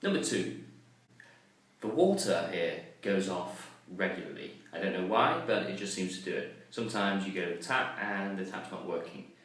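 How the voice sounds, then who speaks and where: A man's voice: low voice, expressive, normal speed, fairly bright tone. A man vlogging casually to the camera, chatty and self-mocking, with plenty of ums and uhs. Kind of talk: casual vlog talk to camera. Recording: very echoey, distant mic, faint noise.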